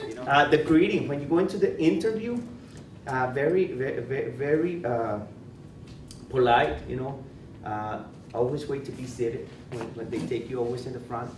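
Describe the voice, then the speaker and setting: A man with a Spanish accent, a school principal giving interview advice in an informal workshop, repeating words and restarting often. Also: medium pitch. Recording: echoey room, far from mic, noisy.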